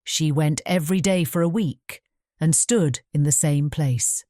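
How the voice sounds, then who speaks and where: Female storybook narrator: mellow, measured bedtime reading, recorded very dry and close. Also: low-pitched, no room sound. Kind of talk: scripted bedtime fairy-tale narration.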